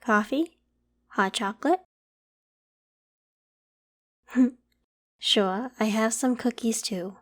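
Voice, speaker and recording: A woman voicing a polite, protective young female werewolf with a secret, in a gentle, reassuring ASMR roleplay. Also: fairly high pitch, slow pace. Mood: friendly, hospitable. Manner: gentle, reassuring, hesitant when evasive. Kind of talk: ASMR roleplay, acted one-sided conversation.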